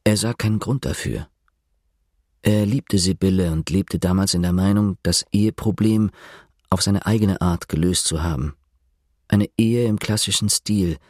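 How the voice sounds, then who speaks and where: An actor as the narrator of a literary radio drama, retelling another man's confession with measured, detached irony and a husky voice. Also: sits low, a little mellow, no echo, clean studio sound. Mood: dryly ironic.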